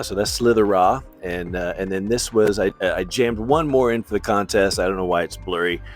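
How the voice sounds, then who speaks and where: Low-pitched middle-aged man, casual and halting. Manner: informal, halting, self-deprecating. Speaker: artist showing his portfolio. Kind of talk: casual rambling commentary over artwork. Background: background music.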